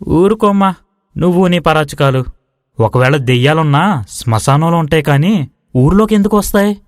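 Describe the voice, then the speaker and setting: A man's voice: on the high side, lively intonation, normal speed, dry recording, clean studio sound, lightly acted. A man voicing a bold, skeptical young village man, assertive and argumentative, in acted moral-story dialogue. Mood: dismissive.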